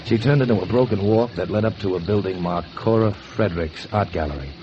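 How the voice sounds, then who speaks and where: A man's voice: fairly low pitch, brisk, natural voice. An actor playing a down-on-his-luck painter who narrates in wry, hard-boiled 1940s radio noir style. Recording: noisy, muffled 1940s radio recording.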